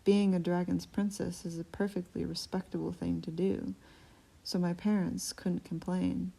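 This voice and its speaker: A woman's voice: lowish voice, little pitch movement, moderate pace, gravelly, mellow, slightly rough, rough recording. A woman reading aloud, voicing a headstrong, snippy young princess from a storybook who speaks eagerly and insists on her way. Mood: insistent.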